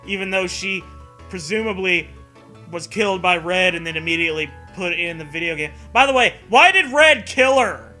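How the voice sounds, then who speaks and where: A man's voice: sits high, expressive, normal speed, pushed and bright, soft background music. A man giving casual comedic commentary in a sarcastic, profane way. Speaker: co-host and commentator.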